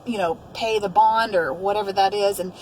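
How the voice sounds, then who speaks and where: A woman's voice: medium pitch, steady tone, normal speed, a little mellow, poor audio. Middle-aged woman interviewer, some background noise. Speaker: host, mother interviewing her daughter. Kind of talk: reflective conversational interview.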